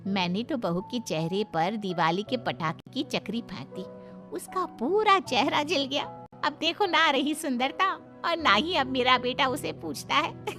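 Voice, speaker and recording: A woman's voice: sits high, lively intonation, a little slow, pushed and bright, soft background music, full character voice. A woman voicing a sly, gleefully cruel middle-aged gossip in a cartoon moral story, scheming and exaggerated. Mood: gossipy gloating.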